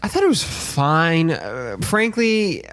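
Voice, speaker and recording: A man co-hosting a podcast, discussing casually and giving measured, carefully qualified opinions. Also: fairly high pitch, sing-song, fairly bright tone. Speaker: podcast co-host.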